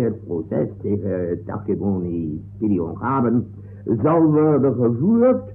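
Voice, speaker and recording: Exasperated judge, swinging in pitch. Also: male voice, gravelly. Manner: formal, officious, impatient. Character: stern, formal, exasperated male courtroom judge. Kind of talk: 1960s comic radio play acting. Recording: noisy narrow-band old radio recording.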